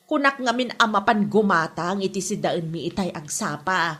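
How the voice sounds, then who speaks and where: A woman's voice: mid-range voice, moderate pace, a bit bright, breathy edge. A woman playing an exasperated, worried village mother of a grown son, with an Ilocano Filipino accent, in a comedy audio drama.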